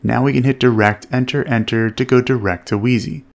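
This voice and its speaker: Creaky-voiced man giving instructional commentary. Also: mid-range voice, fairly even intonation, fairly quick. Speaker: flight instructor narrating. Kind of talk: explanatory instructional commentary over flight footage.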